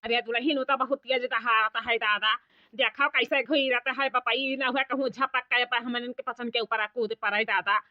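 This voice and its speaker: A woman's voice: on the high side, fairly even intonation, normal speed, no room sound, voice pushed down, close to an everyday voice. Woman putting on a fretful old village grandmother. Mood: alarmed pleading. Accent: Awadhi. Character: worried old village grandmother, complaining and weary. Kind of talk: comic village cartoon dialogue. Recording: dull lo-fi cartoon soundtrack.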